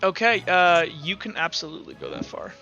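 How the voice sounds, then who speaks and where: Man, game master, high-pitched, explanatory, slightly dull recording, faint music behind. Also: huge pitch swings, fairly quick, vocal fry. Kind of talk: running a tabletop roleplaying game. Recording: dull sound with music underneath.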